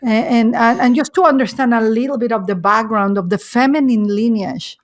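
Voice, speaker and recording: A woman hosting a podcast interview in accented, non-native English, effusive and halting, often repeating her phrases. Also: fairly high pitch. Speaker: podcast host.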